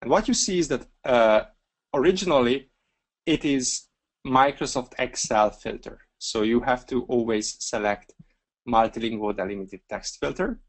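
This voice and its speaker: A Hungarian man walking through a software feature step by step in a webinar screencast, relaxed and talking through each click with a few fillers. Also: mid-range voice, moderate pace. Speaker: software trainer.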